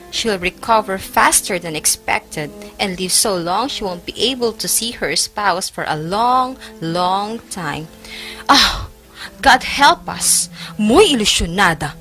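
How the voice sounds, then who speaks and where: A woman's voice: mid-range voice, expressive, slow pace, sharp tone, soft background music. A woman playing a sarcastic, gossiping nun in a stage play, mocking and theatrical. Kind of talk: amateur stage play acting.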